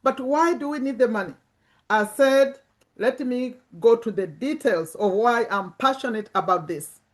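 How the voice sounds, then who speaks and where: Ugandan lady in her 50s, voice a bit high, chatty motivational talk, repeats stuff for emphasis. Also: a little slow. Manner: emphatic, repeats words and phrases. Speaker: personal finance YouTuber and community host. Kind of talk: informal motivational talk to camera and online group.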